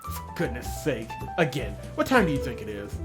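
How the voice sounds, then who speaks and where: A man's voice: mid-range voice, wildly swooping pitch, normal speed. An indignant woman housekeeper, read out by a man in a visual novel game, guards her lady's house. The delivery is formal and scolding, full of exasperation. Music plays behind the voice. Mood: exasperated.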